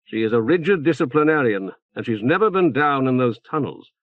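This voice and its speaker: A man reading an audiobook, playing a smooth, sardonic, calculating male councillor. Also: mid-range voice, animated, a little fast, no room sound, phone-quality sound, natural voice.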